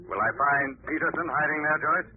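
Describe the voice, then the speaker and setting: A man's voice: on the high side, fairly quick, narrow, tinny audio, close to an everyday voice. A man acting an invisible, menacing crime fighter from a vintage radio drama. He is mocking and commanding as he interrogates, on a thin, hissy old broadcast recording. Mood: stern, accusing. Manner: sardonic, taunting, interrogating.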